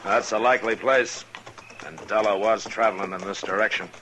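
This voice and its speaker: An actor playing a stern, steady western marshal in an old-time radio drama, terse and measured in his commands and narration. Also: on the low side, slight background noise, low-quality recording. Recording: vintage radio drama with music bed.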